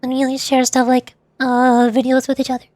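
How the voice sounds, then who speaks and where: A woman streamer with a high voice chats casually while she plays a game. She talks in a hesitant, self-deprecating way, often halting mid-thought. Game music plays softly behind her. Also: completely flat delivery, no echo. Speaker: livestreamer, VTuber voice actor. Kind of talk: casual livestream chat while playing a game.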